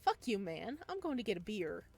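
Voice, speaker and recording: A woman's voice: mid-range voice, sing-song, normal speed, darkish tone, slightly rough, soft background music. A woman voicing a shy, easily embarrassed young man in a visual novel, defensive and curt. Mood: annoyed.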